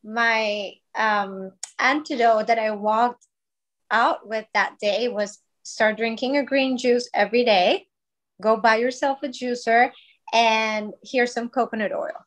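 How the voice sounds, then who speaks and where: A woman in her thirties from Colombia talks as a podcast guest, with a voice pitched a touch high. She speaks in a chatty, grateful way, leaning on fillers as she tells her story. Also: fairly even intonation, takes it slowly. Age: 30s. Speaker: guest, wellness brand founder. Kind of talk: podcast interview, personal story.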